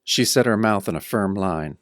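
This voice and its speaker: A man's voice: lowish voice, animated, normal speed, no room sound, clean studio sound, close to an everyday voice. An actor playing a battered, wry tough-guy hero, narrating his own pulp horror tale in a radio-style audio drama. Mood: determined, wry. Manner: wry, hard-boiled first-person storytelling.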